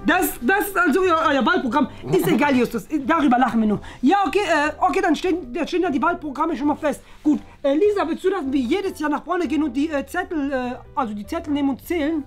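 A woman's voice: high voice, expressive, fast, hardly any pauses, soft background music. A woman voicing a cocky, boastful, sporty schoolboy who sounds smug and self-important in a children's school story. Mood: dismissive.

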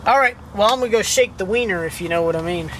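An actor in an amateur film playing a young man who camps with his brother, joking and then calming his brother down. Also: high-pitched, fast talker, noisy background, lightly acted.

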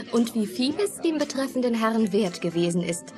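A woman acts a self-assured, seductive lady in a radio play. She teases coyly at a middling pitch, with music clearly audible behind her. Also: expressive, a little slow, lightly acted. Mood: amused, teasing. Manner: coy, teasing. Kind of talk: German radio play acting.

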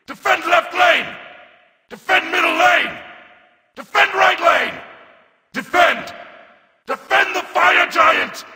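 A battle-hungry mechanical reaper from a video game. The voice is extremely high for a male and very tense, with a gravelly edge. Short commands are barked grimly and forcefully. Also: slow, pauses often, big-room sound, some chatter behind, heavily acted. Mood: commanding, battle-fierce. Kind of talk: video game character callouts.

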